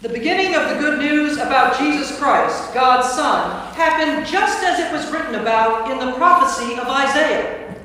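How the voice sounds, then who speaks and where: A woman's voice: sits high, animated, moderate pace, pushed and bright. A woman priest speaking to a gathering as host and narrator in a church. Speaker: priest and narrator of a staged reading. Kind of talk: informal welcome address, then scripted narration. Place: large indoor church space. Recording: echoey church hall from far off, faint noise.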